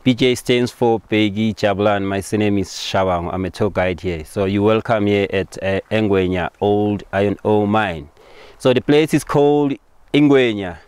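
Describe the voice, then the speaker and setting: Man, Swazi accent, native Siswati speaker, lowish pitch, clear resonant voice, local tour guide, simple rephrased explanations. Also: measured pace, a little mellow, dry recording. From Eswatini.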